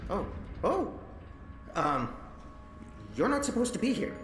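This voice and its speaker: A woman's voice: mid-range voice, very animated, takes it slowly. An actress playing a nervous young female engineer who stammers and rambles. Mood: flustered. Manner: stammering, jittery and talkative. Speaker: lead engineer. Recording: roomy and distant with game music.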